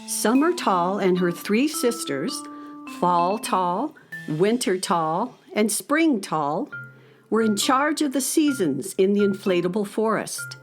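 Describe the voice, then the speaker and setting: An actress playing a friendly narrator of a children's radio story, telling it expressively. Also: female voice, medium pitch, sing-song, takes it slowly, soft background music. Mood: storytelling.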